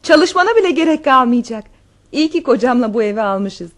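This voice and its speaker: A high-pitched countess in a radio play. She is passionate and determined, speaking with emotive, affectionate pleading to the man she loves. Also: animated, a little fast. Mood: tender, hopeful. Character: passionate countess awaiting a child with her lover, hopeful, determined. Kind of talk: radio theatre acting.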